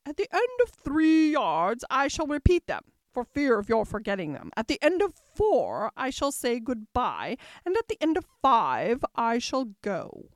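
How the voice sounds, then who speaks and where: Woman performing a bossy, high-pitched storybook queen. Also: wildly swooping pitch, measured pace, few pauses, dry recording, exaggerated voice. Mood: imperious, gracious. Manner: commanding, emphatic, exclaiming. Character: bossy, brisk, imperious queen chess piece. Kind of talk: storybook character voice.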